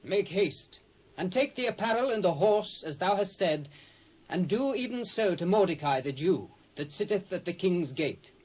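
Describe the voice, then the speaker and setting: A man's voice: high-pitched, lively intonation, moderate pace, a little mellow, breathy edge. A British man playing a commanding king of an ancient empire in a scripture audio drama, speaking regally. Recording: dull cassette audio with faint noise.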